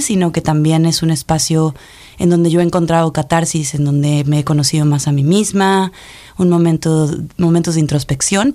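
A woman's voice: deep voice, warm tone. Thoughtful Mexico City woman guest. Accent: Mexico City Spanish. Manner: thoughtful, many pauses and restarts. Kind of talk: reflective conversational interview.

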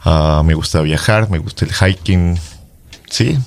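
A man from Ecuador, a drummer and guest, talking loosely and relaxed. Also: low-pitched, measured pace, vocal fry. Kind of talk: casual conversation.

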